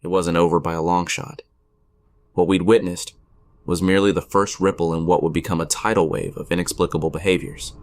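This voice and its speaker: A man playing a wry gamer friend who narrates an eerie audio drama in a measured, suspenseful way. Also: deep voice, flat delivery, natural voice. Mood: ominous, measured. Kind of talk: dramatic first-person storytelling.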